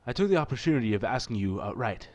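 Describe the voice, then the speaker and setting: A man voicing a rich, generous gentleman in a visual novel, with a formal and self-assured manner. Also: mid-range voice, very animated, fast talker, natural voice. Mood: confident, teasing. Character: rich, suave young gentleman patron, generous and teasing.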